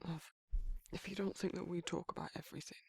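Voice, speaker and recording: A man voicing a gruff but caring werewolf in an intimate ASMR roleplay, with a husky Northern English accent and a hesitant, informal way of talking. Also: sits high, a little mellow, no echo, heavily acted, low-quality recording. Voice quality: husky, gravelly. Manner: hesitant, informal, stumbling over feelings. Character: gruff but caring young male werewolf, awkwardly protective.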